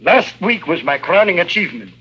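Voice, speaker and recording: Boastful, husky-voiced smuggler. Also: male voice, high-pitched, very animated, brisk, sharp tone, exaggerated voice. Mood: boastful, oily. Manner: grandiose, florid, self-admiring. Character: boastful, wily male Eastern European people smuggler. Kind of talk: 1950s radio drama acting. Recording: dull old radio recording.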